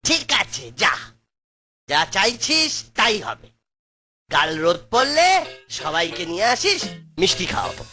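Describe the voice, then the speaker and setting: A woman's voice: medium pitch, very animated, unhurried, pauses often, sharp tone, breathy edge, heavily acted. A woman voicing a kindly, sly grandfatherly trickster man in a cartoon, with a playful, coaxing delivery. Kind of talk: cartoon voice acting. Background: background music.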